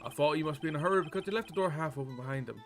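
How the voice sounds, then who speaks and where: A man's voice: on the high side, rapid-fire, close to an everyday voice. A man voicing a nervous, evasive male witness in a visual novel game, hesitant and stammering as he over-explains. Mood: recounting. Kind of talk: visual novel game dialogue read aloud. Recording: game music behind the voice.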